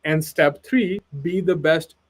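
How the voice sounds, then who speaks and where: A man's voice: fairly high pitch, takes it slowly. Earnest man from Pakistan. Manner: earnest, persuasive, fillers like "right?" and "like". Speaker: expert guest. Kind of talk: casual webinar conversation.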